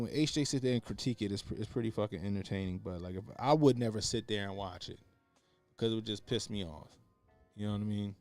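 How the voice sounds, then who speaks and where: Crude, loud-swinging man reacting on stream. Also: lowish voice, no echo. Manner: crude, laughing, swings from mumbled asides to loud outbursts. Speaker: stream host. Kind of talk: casual reaction commentary.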